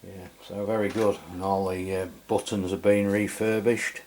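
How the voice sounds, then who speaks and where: A man hosts a casual hands-on review in a deep voice. He talks in a matter-of-fact, rambling way, feeling for his words as he goes. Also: flat delivery, normal speed, a little mellow, slight background noise. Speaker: radio review host.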